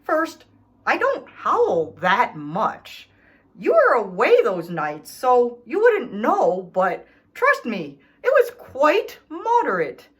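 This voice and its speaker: A woman's voice: fairly high pitch, wildly swooping pitch, slow, heavily acted. A woman voicing an indignant, self-pitying male dog in a children's storybook, reading his letters with theatrical, aggrieved emphasis. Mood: self-justifying, wounded. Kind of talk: expressive children's storybook read-aloud.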